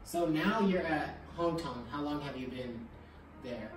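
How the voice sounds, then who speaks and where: A woman's voice: low-pitched, a little slow, a little hiss or hum. A woman asking casual questions as an interviewer. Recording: very echoey room, distant mic, music.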